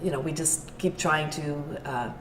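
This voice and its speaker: An older woman from Edmonton, giving thoughtful, unhurried interview answers as an arts venue owner. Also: low-pitched, fairly bright tone, slight background noise. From Edmonton, Canada. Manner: thoughtful, unhurried, searching for words. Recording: roomy sound, distant mic, background music.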